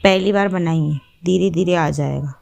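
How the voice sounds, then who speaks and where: A woman's voice: fairly low pitch, moderate pace, creaky, darkish tone. A woman storyteller voicing a young working daughter-in-law in a family tale, light and coaxing in manner. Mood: meek.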